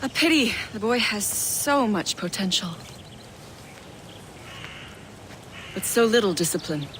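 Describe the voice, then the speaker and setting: A woman plays a stern female assassin teacher in a video game. She is sardonic and commanding, with a medium-pitched voice. Noticeable noise sits behind her. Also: lively intonation, moderate pace, fairly bright tone, close to an everyday voice. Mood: scornful. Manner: scornful, commanding. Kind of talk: video game cutscene acting.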